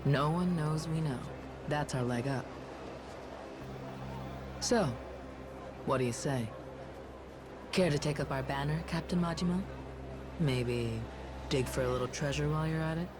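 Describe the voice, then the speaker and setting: A woman's voice: deep voice, measured pace, pauses often, background noise. An actress voicing a tough, self-assured young woman bodyguard in a video game cutscene, casual and slangy. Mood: persuasive, sly.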